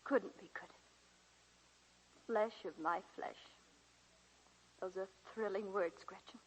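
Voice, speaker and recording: An actress playing an elderly woman college teacher, a reflective and wry great-aunt, in gentle, theatrical 1940s radio drama style. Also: mid-range voice, fairly even intonation, slow, breathy edge, low-quality recording. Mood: tender, reflective. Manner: reminiscing, gentle, theatrical delivery. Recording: hissy 1940s radio broadcast recording.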